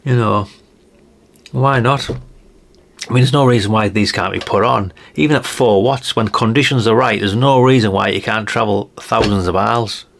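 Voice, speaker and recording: A man's voice: on the low side, moderate pace, vocal fry, fairly bright tone, slight background noise. A middle-aged man, rambling casually through a show-and-tell vlog in a chatty, down-to-earth way. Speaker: hobby radio vlogger.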